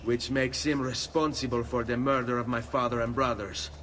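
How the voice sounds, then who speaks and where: A man's voice: mid-range voice, flat delivery, moderate pace, a little hiss or hum, lightly acted. A man with an Italian accent playing a proud, vengeful young nobleman turned assassin in a video game. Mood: grim, resolved. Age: young adult. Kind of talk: video game cutscene acting. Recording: loud game music behind.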